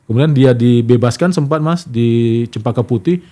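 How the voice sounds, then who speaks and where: A man's voice: mid-range voice, normal speed, warm tone. A middle-aged man, telling anecdotes casually as an interview guest and acting out quoted dialogue. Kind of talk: casual conversational storytelling.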